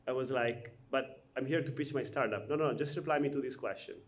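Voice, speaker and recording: A man hosts a relaxed founder chat. He talks in halting bursts full of "uh" and "you know", and laughs easily through his stories. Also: medium pitch. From Albania. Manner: hesitant, many fillers, laughs often while telling stories. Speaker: podcast host. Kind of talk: casual conversational interview.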